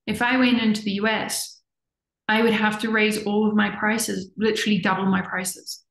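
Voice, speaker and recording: A woman's voice: medium pitch, little pitch movement, normal speed, gravelly, a bit bright. A middle-aged woman, born in the US and raised in Zimbabwe, talking earnestly as an interview guest and repeating words for emphasis. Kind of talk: reflective conversational talk. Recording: echoey room.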